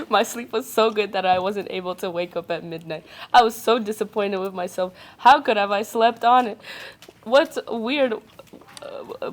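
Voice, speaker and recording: A young adult woman, not a native English speaker, reading a ghost story aloud on a casual podcast, stumbling and stopping to joke. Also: mid-range voice. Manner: reads haltingly, breaks off to joke and laugh.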